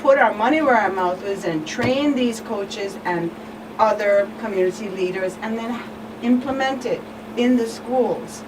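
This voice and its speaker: A woman speaking as a guest expert on a talk show, in a measured, emphatic, conversational way. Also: mid-range voice. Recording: distant mic, clear background noise.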